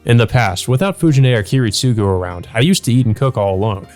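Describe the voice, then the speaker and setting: A man's voice: mid-range voice, lively intonation, quick pace, darkish tone, faint music behind, close to an everyday voice. A man voicing an earnest, easygoing, domestic teenage schoolboy from a visual novel, plain and mildly wry.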